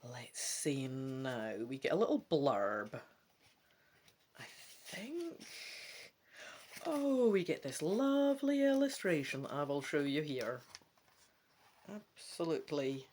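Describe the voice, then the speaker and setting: Slow-paced, expressive woman reading and chatting. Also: low-pitched, a little hiss or hum. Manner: chatty, musing asides between expressive reading. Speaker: gamebook let's play host. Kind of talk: solo let's play with dramatic read-aloud.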